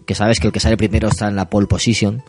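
Man, husky, lowish voice, casual co-host explaining, faint music. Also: flat delivery, very fast, darkish tone. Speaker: podcast co-host.

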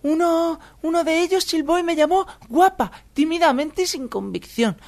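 A woman's voice: very high voice, moderate pace, a little mellow. A woman playing a vain indignant young woman. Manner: aggrieved and self-pitying. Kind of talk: comedic radio sketch.

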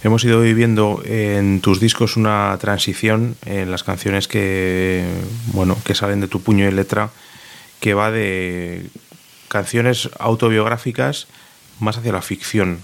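Low gravelly interviewer. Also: male voice, little pitch movement, measured pace. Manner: fluent, with a few filler ehs. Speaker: interviewer, show host. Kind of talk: scripted introduction and questions. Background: loud music.

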